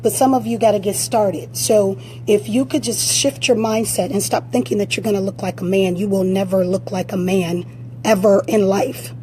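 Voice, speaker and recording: A woman fitness coach with a raspy voice, giving blunt, direct motivational advice during a live-stream Q&A on a phone. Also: medium pitch, fairly even intonation, normal speed, mellow, a little hiss or hum.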